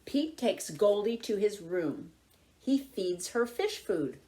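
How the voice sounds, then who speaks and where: A woman reading a picture book aloud to young children, with expressive, deliberate phrasing. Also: medium pitch. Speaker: volunteer children's story reader.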